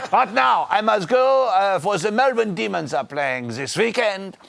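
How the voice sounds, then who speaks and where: A smug, leering Frenchman with a put-on accent, played by a man, drawling innuendo slowly. His voice is high and gravelly. The recording has a noisy hiss under it. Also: exaggerated voice. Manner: innuendo-laden, theatrical, drawled. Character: lecherous pompous French man, cycling pundit. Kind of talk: comedy sketch in character.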